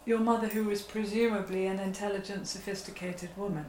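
A woman interviewer asking soft, probing, sympathetic questions. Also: medium pitch, steady tone, a little fast, slight background noise. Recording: roomy with faint ambience.

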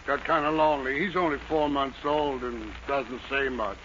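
A man's voice: mid-range voice, steady tone, normal speed, close to an everyday voice. Guy acting hapless middle-aged american dad, folksy, old radio comedy, super noisy recording. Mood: sheepish. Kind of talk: 1940s radio sitcom acting. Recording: muffled radio recording with heavy noise.

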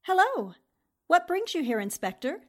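A woman's voice: very high voice, sing-song, normal speed. A woman playing a poised, skeptical newspaper reporter in a theatrical, polished 1940s radio drama style. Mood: curious.

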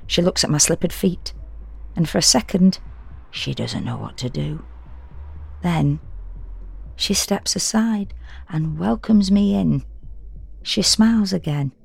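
A woman playing an exhausted, fretful woman who narrates a confessional audio drama monologue in an intimate, wry, deliberate way. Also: low voice, gravelly, heavily acted. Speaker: narrator and actor.

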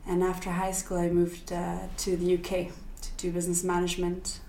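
A young woman from Sweden talks as a podcast guest, her voice sitting a little low. She thinks aloud, with fillers and restarts, and the sound carries a roomy echo. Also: monotone, fairly quick, warm tone, slight background noise. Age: young adult. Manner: thoughtful, many fillers and restarts. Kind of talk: casual interview.

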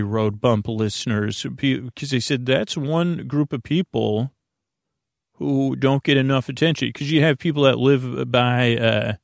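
A man with a creaky, gravelly voice hosting a bedtime podcast in a meandering, halting, folksy monologue full of digressions. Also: medium pitch, dry recording, studio quality. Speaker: podcast host.

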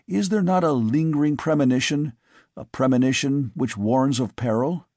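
A man voicing a weary, brooding older father who speaks in a soft, tired, probing way. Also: medium pitch, moderate pace, no room sound, clean studio sound, close to an everyday voice.

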